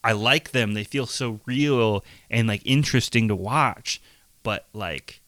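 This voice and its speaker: A man co-hosting a podcast in casual chat, earnest and reflective, with a voice turning raspy from being sick. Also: lowish voice, unhurried, fairly bright tone, no echo. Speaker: podcast co-host.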